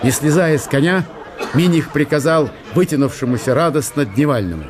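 A man's voice: on the high side, expressive, brisk, a crowd in the background. Male narrator of a radio drama.

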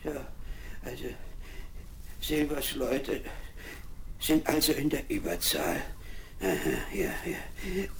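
Castaway, male,, nervous stammer, slow delivery, noisy vinyl. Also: mid-range voice, sing-song. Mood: eager. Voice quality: stammering, halting. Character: ragged marooned male castaway, jittery and stammering. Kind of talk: 1970s radio play acting.

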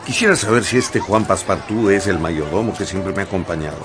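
An actor playing an urgent, commanding gentleman traveller in a radio drama, emphatic. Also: low-pitched, a little fast, lightly acted. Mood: perplexed, insistent. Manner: emphatic, swinging from muttering to shouted orders. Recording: music behind the voice.

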